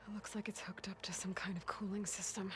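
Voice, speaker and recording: A woman's voice: medium pitch, level tone, brisk, a bit bright, soft background music, lightly acted. A woman playing a determined, wry young warrior heroine in a video game, speaking in an earnest, purposeful way. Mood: focused urgency. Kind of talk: naturalistic video game dialogue acting.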